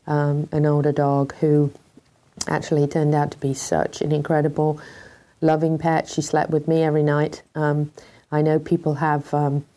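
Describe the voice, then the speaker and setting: A woman's voice: deep voice, completely flat delivery, normal speed, dark tone. Froggy British woman hosting a talk show. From Britain. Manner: hesitant, many ums, heartfelt. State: congested, froggy voice. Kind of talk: casual talk show monologue.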